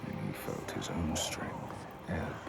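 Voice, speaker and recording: An actor playing a grave, portentous storyteller of a dark legend in a video game, half-whispering in measured phrases. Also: male voice, very noisy, close to an everyday voice. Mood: ominous, solemn. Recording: loud music behind.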